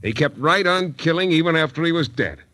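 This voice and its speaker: An actor playing a wry, terse, flirtatious hardboiled private detective in a 1940s radio drama. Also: male voice, a little high, expressive, a little fast, pushed and bright. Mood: grave, explaining. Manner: wry, terse tough-guy patter. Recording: 1940s radio recording with music.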